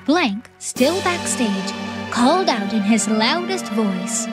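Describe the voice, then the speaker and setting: A woman's voice: fairly high pitch, big pitch swings, slow pace. A woman reading scripted, dramatic audiobook narration. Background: music.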